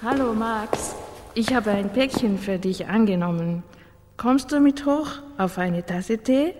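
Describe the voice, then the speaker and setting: A woman's voice: mid-range voice, a little slow, background noise, lightly acted. An actress playing a friendly, curious, inviting female neighbour in a radio drama. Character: friendly, unhurried female neighbour offering tea. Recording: long echo, like a hallway.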